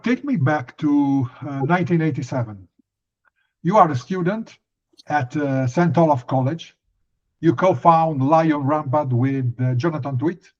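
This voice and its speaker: A man with a somewhat high voice puts questions to a guest as an interviewer. His audio is slightly muffled and lo-fi. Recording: dull, lo-fi sound.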